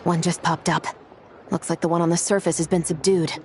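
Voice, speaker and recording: Woman voicing a confident lady captain from a video game, lowish voice, cool and clipped, kinda taunting. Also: flat delivery, a little fast, slight background noise, close to an everyday voice. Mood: cool, focused. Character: tough, confident female special patrol captain.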